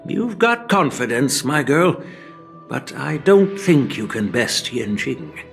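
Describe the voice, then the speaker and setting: A genial old elder from a game cutscene. His delivery is measured and courtly with lively, swinging intonation, full of wry grandfatherly teasing. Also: mid-range voice, vocal fry, soft background music, full character voice. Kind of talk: dubbed video game cutscene dialogue.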